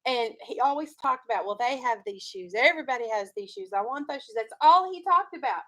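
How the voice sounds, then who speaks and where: A woman's voice: on the high side, expressive, fairly quick, pushed and bright. A woman teaching young schoolchildren in a classroom, leading a reading discussion with instructive questions. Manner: instructive, questioning, checking understanding.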